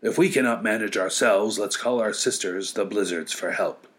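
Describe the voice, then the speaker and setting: A man's voice: on the low side, normal speed, fairly bright tone, lightly acted. A man voicing furious, proud, bullying winter whirlwinds and blizzards in a children's story. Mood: exhausted, indignant.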